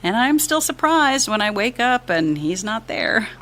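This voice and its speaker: An actress playing a grieving widow in a fiction podcast, candid and tender, in a halting confessional manner. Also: female voice, mid-range voice, sing-song, a bit bright. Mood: tender grief. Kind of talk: naturalistic fiction podcast acting.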